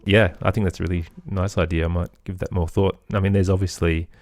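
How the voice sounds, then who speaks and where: A man with an Australian accent, talking as an interview guest in an agreeable, conversational way with softened phrasing. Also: deep voice, level tone, quick pace, dry recording. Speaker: podcast guest, musician and composer.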